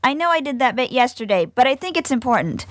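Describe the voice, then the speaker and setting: A woman's voice: high voice, very animated, fast, no room sound, clean studio sound. A woman playing an earnest, righteous young pony heroine in a comedic audio drama, formal and scolding. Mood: stern. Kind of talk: comedic fan fiction audio drama acting.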